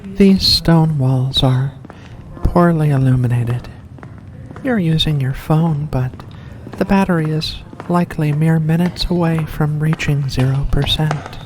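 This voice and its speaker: A performer voicing a mysterious, seductive vampire in a slow, deliberate ASMR roleplay, courteous and teasing. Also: medium pitch, darkish tone, close to an everyday voice. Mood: eerie, hypnotic. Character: seductive mysterious vampire host luring in a guest. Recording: faint background noise.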